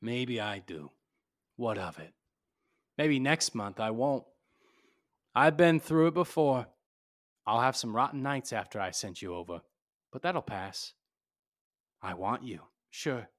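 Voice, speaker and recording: A man's voice: mid-range voice, animated, takes it slowly, pauses often, fairly bright tone. A man acts out a tough, cynical detective in an old-time radio drama. His voice sits in a middle register, and he speaks in blunt, clipped, accusing lines with no sentiment. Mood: grimly resolved. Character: hard-boiled cynical male private detective, tough and unsentimental.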